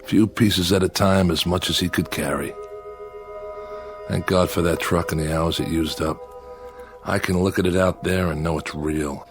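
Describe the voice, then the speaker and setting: An actor playing a lonely convict exiled on a desert asteroid in an audio drama. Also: male voice, deep voice, fairly even intonation, moderate pace. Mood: brooding, weary. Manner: brooding to himself, eager and hospitable with visitors. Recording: music bed under the voice.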